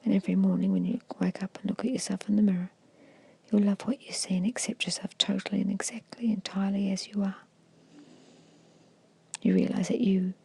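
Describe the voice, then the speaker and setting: Woman, whispered ASMR hypnosis, very breathy, soft and mellow, hushed gentle instructions, meditation guide. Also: mid-range voice.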